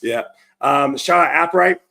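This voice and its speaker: Hoarse, chatty man hosting a stream. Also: a little high, measured pace, bright, forceful tone. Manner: upbeat, chatty, many fillers and restarts. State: hoarse from a cold. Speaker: livestream show host. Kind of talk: casual live-stream chat.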